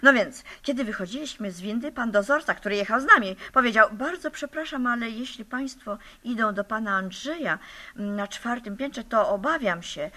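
A woman's voice: a little high, expressive, moderate pace, bright, forceful tone. A woman playing a bossy older aunt in a radio comedy sketch, prim and scolding, reasoning absurdly with great seriousness.

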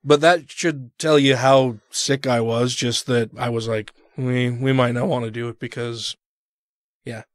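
A congested man hosts a relaxed podcast chat, rambling and swearing freely as he talks. His voice sits at an ordinary middle pitch. The sound is close and dry, with no room around it. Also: unhurried, no echo. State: congested, recovering from illness. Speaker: podcast host. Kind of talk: casual chat.

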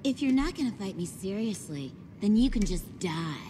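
An actress playing a cocky, bloodthirsty young fighter in a video game, taunting and playfully menacing. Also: female voice, mid-range voice, lively intonation, moderate pace, dark tone, lightly acted. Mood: impatient. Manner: taunting, brash. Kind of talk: video game cutscene voice acting. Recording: reverberant hall, clearly noisy background.

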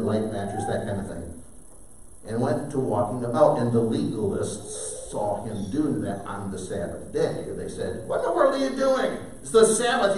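An older man preaching a sermon from a pulpit, animated, with sudden loud bursts. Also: on the low side, a little hiss or hum. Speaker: pastor. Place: church sanctuary. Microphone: far camera, preaching at a wooden pulpit. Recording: very echoey sanctuary heard from a distance.

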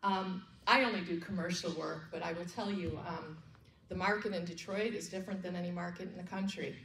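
An American woman with a lowish voice addressing a conference session informally, heard from back across an echoey room. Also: steady tone, brisk, a bit bright. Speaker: commercial real estate broker, speaker. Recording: echoey room, distant mic, background noise.

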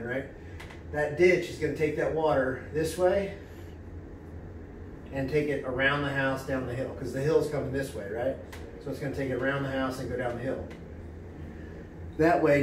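A man's voice: mid-range voice, echoey room. A middle-aged man talks through a problem at a whiteboard in casual, plain-spoken fashion, with plenty of fillers along the way. He is caught by a camera set a few steps back, so his voice sounds distant and bounces around the small room, with a faint hum underneath. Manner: plain-spoken, many fillers and "right?" checks. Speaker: real estate agent. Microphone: camera a few steps away.

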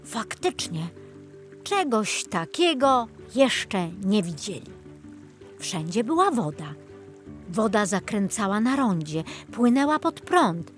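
A woman narrates a children's audiobook at a slow, measured pace. Soft music plays behind her voice as she tells the story. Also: mid-range voice, sing-song.

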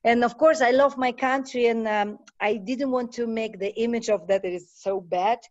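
Animated woman from Kyrgyzstan on a call. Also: on the high side, moderate pace. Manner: animated, emphatic, non-native phrasing. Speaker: panelist. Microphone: webcam on video call. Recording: muffled video call audio.

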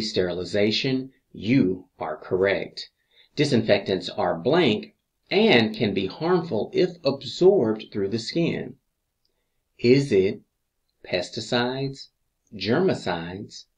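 Slow-paced, low-voiced male quiz narrator. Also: animated. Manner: measured, deliberate reading with pauses between answer choices. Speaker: instructor reading review quiz questions. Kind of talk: scripted exam review narration.

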